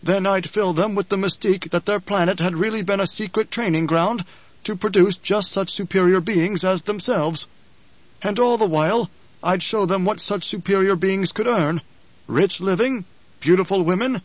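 A shrewd older spymaster, voiced by a man reading aloud, speaks in a mild, precise, lifted voice. He probes with calm questions. The recording is dry and a little muffled. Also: sits high, moderate pace, dry recording, voice pushed up.

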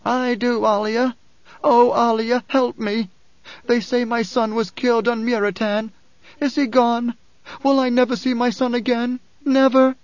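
A man's voice: extremely high pitch, flat delivery, measured pace, no echo, dull audio, voice pushed up. A man voicing a desperate grieving mother in an audiobook, wailing and pleading as she calls out. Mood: anguished pleading.

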